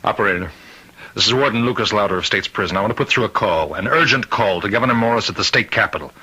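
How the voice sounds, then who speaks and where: An actor playing an anguished, weary prison warden in an old radio drama, halting and strained. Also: male voice, on the low side, pushed and bright, husky, poor audio. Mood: urgent, pleading.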